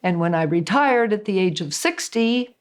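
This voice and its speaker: A woman in her eighties speaks about herself plainly and matter-of-factly. Her voice sits at a middling pitch. The tone is that of a calm documentary interview. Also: normal speed, smooth. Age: 80s. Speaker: retired probation officer, actor.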